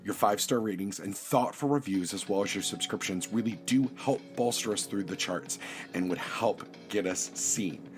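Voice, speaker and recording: A man's voice: fairly low pitch, moderate pace. A man reading a scripted introduction and credits as a podcast announcer. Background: prominent music.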